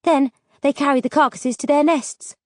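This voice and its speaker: A woman's voice: sits high, fairly even intonation, moderate pace, no room sound, pitched above the performer's own voice, lightly acted. Audiobook role: teasing young survivor woman, bold, colloquial, a woman acting her, dry sound. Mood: matter-of-fact. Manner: cheeky, colloquial.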